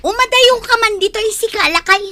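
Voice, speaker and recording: A woman's voice: very high-pitched, a little fast, pushed and bright. A woman playing a resentful, defiant young daughter in a comedy radio drama.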